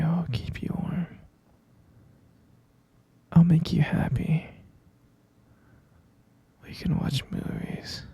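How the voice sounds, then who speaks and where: Casting: man playing possessive yandere captor for ASMR roleplay, very dark, soft tone, extremely husky and breathy, tender yet menacing coaxing. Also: on the high side, exaggerated voice. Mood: soothing, tender. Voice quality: breathy, husky whisper with gravelly creak. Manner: slow, coaxing, repetitive pleading with pet names.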